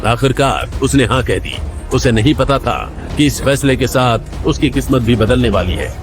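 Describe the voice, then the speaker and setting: A man tells a scripted drama as its narrator. His voice is mid-pitched and delivered in a dramatic storytelling manner, with light music running underneath. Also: normal speed.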